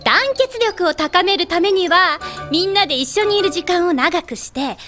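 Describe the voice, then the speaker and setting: A woman's voice: very high voice, lively intonation, moderate pace, music in the background. A woman playing a breezy, playful, chatty big-sister type idol in an anime audio drama. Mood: upbeat, persuasive.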